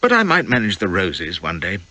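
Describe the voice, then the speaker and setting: Man playing a hearty gentleman, animated delivery. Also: low-pitched, brisk, exaggerated voice. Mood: wistful enthusiasm. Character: hearty, enthusiastic English gentleman colonial ruler. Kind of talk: audiobook character acting.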